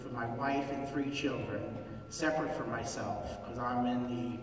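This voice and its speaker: A man in his fifties, a physician, speaking at a town hall in a halting, earnest way and clearing his throat between phrases. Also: mid-range voice, steady tone, noisy background. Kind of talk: public comment at a town hall. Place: large theater hall. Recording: echoey theater heard from far off.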